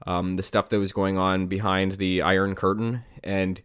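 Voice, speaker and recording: A man's voice: low voice, level tone, normal speed, a bit bright, smooth, no echo, clean studio sound. A man hosting a casual solo podcast, sounding slightly nasal and stuffy from a cold, rambling with many fillers and restarts. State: congested, under the weather. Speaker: podcast host.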